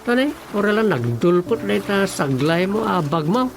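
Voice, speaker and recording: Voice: medium pitch, sing-song, moderate pace, vocal fry, background noise. An actor with an Ilocano accent playing a wary, blunt villager, muttering hesitantly in a radio comedy drama. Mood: wary, doubtful. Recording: loud music bed behind voice.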